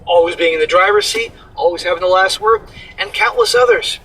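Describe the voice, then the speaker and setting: A man's voice: high voice, a little fast, sharp tone, breathy edge, far from the mic, low-quality recording. A man co-hosting a casual reaction commentary, blunt and profane, scolding in plain terms. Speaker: commentary co-host reading an article.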